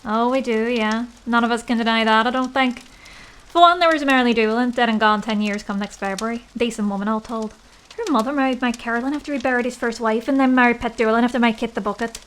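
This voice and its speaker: A woman's voice: on the high side, fast talker, a bit bright, slight background noise, lightly acted, low-quality recording. A woman playing a nosy, superstitious village gossip with an Irish accent and a chatty, folksy way of talking in an audio drama. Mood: gossipy.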